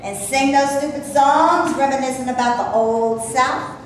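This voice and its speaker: Woman doing a skeptical wry friend, slightly high voice, off-mic, echoey stage sound. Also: unhurried. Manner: doubtful, wry. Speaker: character in a staged reading. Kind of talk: stage play reading. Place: theater before a live audience. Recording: distant voice in echoey room, faint noise.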